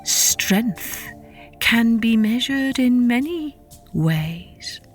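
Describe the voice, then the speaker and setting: A woman voicing a small, humble old grandmother spider with a weak, frail voice and a slow, quietly firm manner, in a children's story. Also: a little high, expressive, soft background music. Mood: humble, determined. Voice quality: weak, frail, elderly-sounding.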